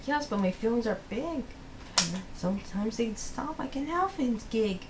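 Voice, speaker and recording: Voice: medium pitch, animated, moderate pace, background noise, pitched above the performer's own voice, natural voice. A storybook reader voicing an overwhelmed, curious young female chameleon in a plaintive, childlike way. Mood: hesitant.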